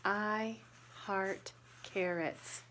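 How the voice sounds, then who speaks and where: A woman reading a picture book aloud to young children, pausing to explain and ask questions in a deliberate, instructive way. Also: medium pitch, fairly even intonation, a bit bright, slight background noise.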